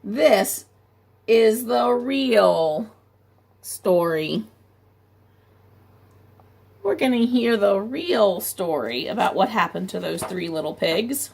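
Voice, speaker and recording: A woman, a preschool teacher, reading a picture book aloud to children, talking gently and asking them questions, with a gravelly, husky voice. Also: mid-range voice, expressive, slow pace, pauses often.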